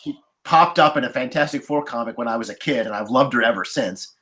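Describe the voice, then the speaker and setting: A man's voice: medium pitch, a little fast, a bit bright. A man speaking as a podcast panelist in a casual movie discussion.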